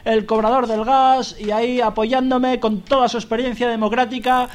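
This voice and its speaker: A man's voice: very high-pitched, flat delivery, moderate pace. A man with an accent from Spain, hosting a radio show with satirical live commentary in a mock-grandiose manner. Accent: Spanish from Spain. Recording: music behind the voice.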